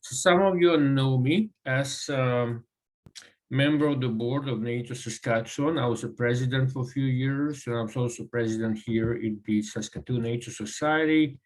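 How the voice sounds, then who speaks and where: Man, Croatian accent, guest speaker, mid-pitched voice, halting with many fillers, video call presentation. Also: low-quality recording. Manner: halting, with frequent ums and uhs. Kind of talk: online presentation.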